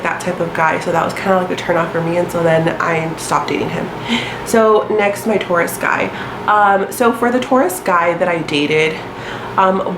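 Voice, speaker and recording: A woman's voice: lowish voice, reverberant, noisy background. Stuffy-nosed young woman, chatty and rambling. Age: 20s. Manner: chatty, rambling, many ums and likes. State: stuffy nose from a sinus infection. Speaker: astrology vlogger. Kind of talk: casual storytelling to camera.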